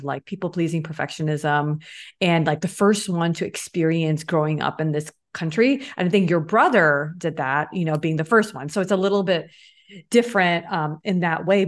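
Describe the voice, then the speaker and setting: A woman hosting a casual, reflective conversation, phrasing things informally and often checking in with tag questions. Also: lowish voice. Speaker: interviewer, host.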